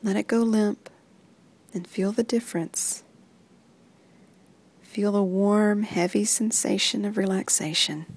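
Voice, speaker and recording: Woman, relaxation guide, very slow, breathy, soft and half-whispered. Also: medium pitch. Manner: soft, even instructions that often sink into a whisper. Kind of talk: guided muscle relaxation script.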